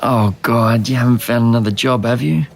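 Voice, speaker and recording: A man's voice: medium pitch, normal speed, vocal fry, a little hiss or hum, lightly acted. A man playing a weary, frustrated Australian adult son, speaking in a casual, colloquial way. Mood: friendly, concerned.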